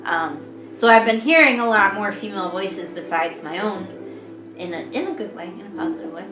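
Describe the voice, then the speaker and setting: Woman, high squeaky voice, chatty podcast co-host, echoey room, music underneath. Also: a little fast. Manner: chatty, laughs easily, trails off and restarts. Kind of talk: casual chat. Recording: roomy echo with music playing behind.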